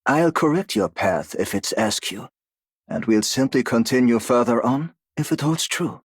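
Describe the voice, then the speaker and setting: An actor playing a calm, analytical, loyal companion in a video game, speaking with composed precision. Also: male voice, medium pitch, normal speed, breathy edge, no room sound. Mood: calm, assured. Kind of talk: scripted video game character voice line.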